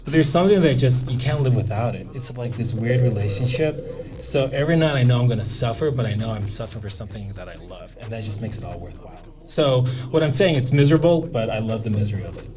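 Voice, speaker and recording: A man's voice: medium pitch, animated, fast, a crowd in the background. A man from Chicago, a comedian and co-host, talking in a joking, self-mocking way. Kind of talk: casual interview chat.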